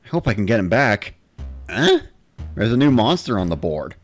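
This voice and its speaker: A man's voice: on the low side, big pitch swings, moderate pace, dry recording, faint music behind. A man reading a first-person story as a dramatic narrator, in the style of a gamer recounting play.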